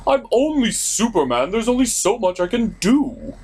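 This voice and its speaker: A man's voice: high-pitched, big pitch swings, moderate pace, darkish tone, husky, exaggerated voice. A man voicing a whiny, melodramatic puppet superhero who sulks like a crybaby in a comedy skit. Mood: whiny, sheepish. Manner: whiny, overdramatic. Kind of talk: comedic puppet show banter.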